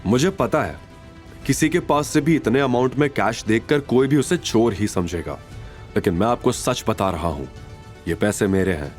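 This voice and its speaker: A man's voice: medium pitch, lively intonation, moderate pace, soft background music, natural voice. Man playing a proud, serious, insistent chairman. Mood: earnest insistence. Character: proud, defiant, serious wealthy young company chairman. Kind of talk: audio drama character acting.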